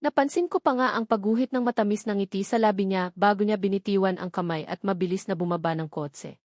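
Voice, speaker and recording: A woman's voice: mid-range voice, brisk, a little mellow, dry recording, clean studio sound. A woman with a Filipino accent narrating a first-person romance novel from a script. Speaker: audiobook narrator.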